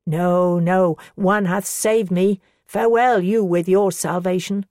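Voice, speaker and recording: A woman's voice: steady tone, takes it slowly, darkish tone, dry recording, clean studio sound. A woman voicing a defiant, pious woman who scolds her accusers with rising passion. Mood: fervent. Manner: impassioned, scolding, rising pitch.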